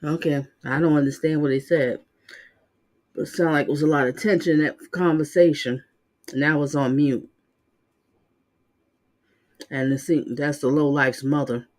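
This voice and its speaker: A livestream host giving opinionated, conversational commentary in a casual style. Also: medium pitch. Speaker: host of a livestream reaction channel.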